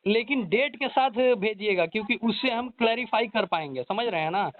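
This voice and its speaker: A man's voice: high voice, lively intonation, normal speed, slight background noise. A man interviewing informally by phone, probing and repeating answers back. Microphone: phone call. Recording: thin narrowband phone call recording.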